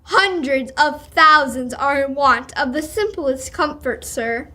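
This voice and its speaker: An earnest, courteous charity collector in a radio play, acted by a young woman. The voice is high and bright, and the words come slowly and formally. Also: exaggerated voice. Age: teenager or young adult. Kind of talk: live old-time radio drama reading.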